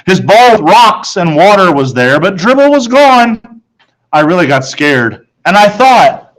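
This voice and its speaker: A man's voice: high voice, very animated, a little slow, fairly bright tone, rough recording. A man reading a children's story aloud as a first-person narrator.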